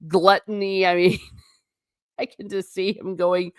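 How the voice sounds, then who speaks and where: A woman runs a casual livestream, reading out her chat and answering it in a wry, rambling way. Her voice sits at an ordinary middle pitch, and she restarts her sentences often. It is recorded dry and close, with no room sound. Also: little pitch movement, takes it slowly, dry recording. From United States. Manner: chatty, wry and tangential, with frequent restarts.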